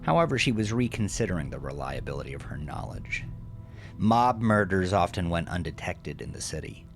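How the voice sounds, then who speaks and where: A male narrator reads dramatic fiction in a deep, creaky voice. His pitch moves widely through the scripted narration, and music plays underneath. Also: very animated, moderate pace.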